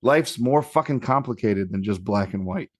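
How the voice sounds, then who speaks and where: A man's voice: on the low side, animated, moderate pace, no room sound. Sweary, slangy man chatting, dry sound. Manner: heavily profane, slangy. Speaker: podcast co-host. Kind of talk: casual chat.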